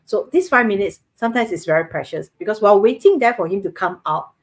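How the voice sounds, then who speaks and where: A woman's voice: medium pitch, lively intonation, quick pace. A woman in her thirties from Singapore with a Singaporean English accent, co-hosting from home over a video call and explaining things earnestly with lots of fillers. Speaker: co-host. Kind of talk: casual live-stream chat. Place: home living room, indoors, video call. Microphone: webcam on video call.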